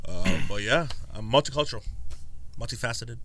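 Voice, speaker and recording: A man's voice: medium pitch, normal speed, a bit bright, a little hiss or hum. A young man from Canada, co-hosting a casual podcast chat with playful, laughing banter. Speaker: podcast co-host.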